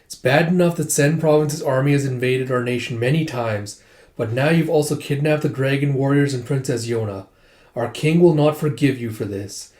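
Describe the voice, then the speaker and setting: Man as a stern, measured warrior envoy, mellow-toned. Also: mid-range voice, close to an everyday voice. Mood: stern warning. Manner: measured, formal, firm. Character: calm, stern male dragon warrior envoy delivering warnings. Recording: roomy, echoing sound.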